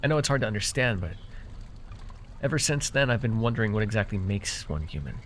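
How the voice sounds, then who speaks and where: A man's voice: lowish voice, very animated, fairly quick, a bit bright, a little hiss or hum. A man playing a proud, easily exasperated magical herbalist in a fantasy roleplay, talking straight to the listener with theatrical airs and sheepish, stammering asides. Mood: pensive, confiding. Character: proud, easily exasperated young magical herbalist who gave away his name.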